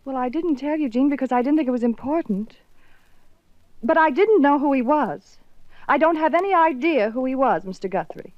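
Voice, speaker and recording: A frightened, flustered doctor's wife, her voice pitched a little high. She talks defensively and edges toward panic. The recording is dry and dull, like an old broadcast. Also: lively intonation, normal speed, dry recording. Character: nervous, frightened middle-aged doctor's wife. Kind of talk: 1950s radio drama acting.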